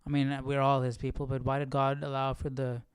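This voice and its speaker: Male livestream host, smooth voice a bit high, informal and questioning, close dry sound, casual study commentary. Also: monotone, fairly quick, dry recording. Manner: informal, questioning, thinking aloud. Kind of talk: casual Bible study commentary, reading aloud and reflecting.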